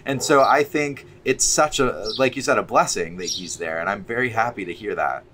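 A man's voice: lowish voice, moderate pace, fairly bright tone, a little hiss or hum. A man in his thirties hosting an interview over a video call from a garden, earnest and supportive, with "you know" fillers. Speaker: interview host. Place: outdoors in a garden.

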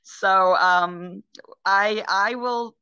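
A middle-aged actress chatting in a rambling, casual way over a video call from home, with many ums and restarts. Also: female voice, medium pitch, fairly even intonation, very slow, bright, forceful tone, smooth, dry recording. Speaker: actress guest. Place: home room, indoors. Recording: dull lo-fi video call audio.

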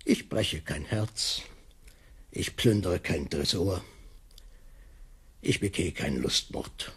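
An actor playing a pompous, timid master baker in a radio play, speaking rhetorically with a husky, creaky voice. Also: male voice, sits low, slow, exaggerated voice. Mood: brooding, self-pitying. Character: brooding, grandiloquent master baker, timid and anxious. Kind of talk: 1980s German radio play acting.

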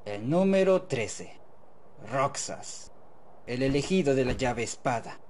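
A man with a Latin American accent playing a sly, cocky fantasy game villain in an amateur fan dub, with drawn-out, taunting delivery. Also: mid-range voice, animated, darkish tone, a little hiss or hum. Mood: smug, insistent.

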